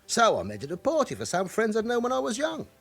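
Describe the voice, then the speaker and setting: An actor playing an evasive, chatty forty-year-old London club owner with an underworld past in a radio drama. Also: male voice, high-pitched, huge pitch swings, quick pace, gravelly, slightly rough, soft background music, full character voice. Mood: wistful.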